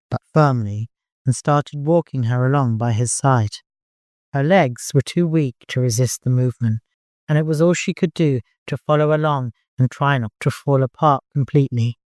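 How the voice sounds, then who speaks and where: A man narrating fiction as an audiobook, in an expressive, dramatic storytelling manner. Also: mid-range voice, moderate pace, dry recording, clean studio sound.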